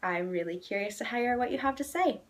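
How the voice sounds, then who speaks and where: A woman's voice: fairly high pitch, animated, fairly quick. A young woman from Canada with a Canadian English accent, chatting casually to camera in a friendly way with frequent ums. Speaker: vlogger.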